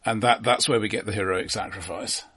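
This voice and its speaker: A man co-hosting a casual film discussion, dry and deadpan, making matter-of-fact points. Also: fairly low pitch, brisk, a crowd in the background. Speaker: film podcast co-host.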